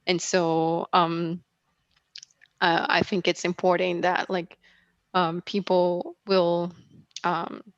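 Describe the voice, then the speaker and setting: Brazilian woman, halting video call chat. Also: on the low side, level tone, no echo, highs cut off. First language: Portuguese. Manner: hesitant, many fillers and restarts. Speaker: guest speaker. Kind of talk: casual Q&A after a talk.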